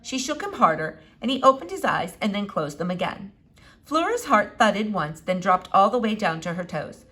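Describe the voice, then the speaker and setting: A woman reading a children's novel aloud in an expressive, animated way with dramatic pauses. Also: medium pitch. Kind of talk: expressive storybook read-aloud.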